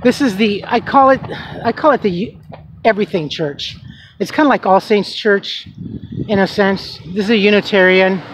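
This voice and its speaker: A man's voice: high voice, moderate pace, a bit bright, poor audio. A man chatting casually as he films a walking tour of his neighborhood, rambling with fillers as he goes. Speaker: vlogger giving a walking tour. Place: outdoors on a residential street. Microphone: handheld camera, filming as he walks. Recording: outdoor noise and passing traffic. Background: traffic and street ambience.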